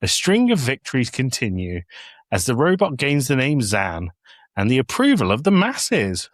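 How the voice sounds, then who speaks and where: A man's voice: medium pitch, wildly swooping pitch, moderate pace, no echo, studio quality. A man co-hosting a podcast, chatting casually and reading summaries aloud in a jokey, playful way. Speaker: podcast co-host.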